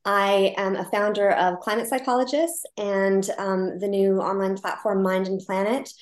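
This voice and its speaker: An American woman, a guest on a podcast interview, speaking thoughtfully with frequent pauses and ums. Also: mid-range voice, fairly even intonation, reverberant. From United States. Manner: thoughtful, hesitant, many ums. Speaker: guest, founder of climate psychology group.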